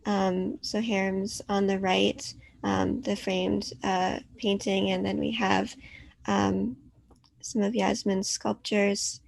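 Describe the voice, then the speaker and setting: Creaky-voiced woman curator, flat delivery. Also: mid-range voice, takes it slowly, no room sound. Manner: polite, filler-heavy presenting. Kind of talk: hosting a virtual artist talk. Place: her apartment.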